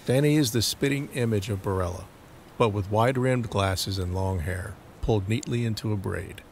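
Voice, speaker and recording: A man's voice: fairly low pitch, moderate pace, creaky, a little mellow, slight background noise. A man narrating a scripted audio drama, reading scene descriptions as the narrator.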